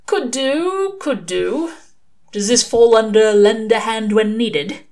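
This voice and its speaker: A woman's voice: fairly high pitch, slow, darkish tone, pitched above the performer's own voice. Sardonic male demon, voiced by a woman: laconic, slangy, defiant, offhand, in a storybook reading.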